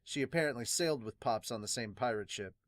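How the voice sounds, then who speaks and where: A man playing a weary, solemn pirate doctor in a fan manga dub, subdued, measured and earnest. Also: medium pitch, animated, no room sound, natural voice. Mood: solemn, reflective. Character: weary, solemn veteran pirate doctor recounting his late captain.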